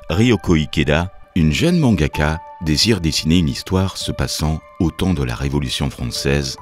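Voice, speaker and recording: Very deep-voiced French man narrating. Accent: French from France. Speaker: documentary narrator. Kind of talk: scripted narration. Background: music behind the voice-over.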